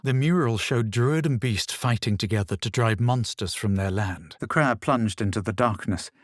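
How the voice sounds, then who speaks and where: A male actor voices a haughty fantasy game adventurer. He is a self-assured man with a low, slightly husky voice. His delivery is measured, theatrical and superior. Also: close to an everyday voice. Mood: composed, measured. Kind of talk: fantasy game dialogue and narration.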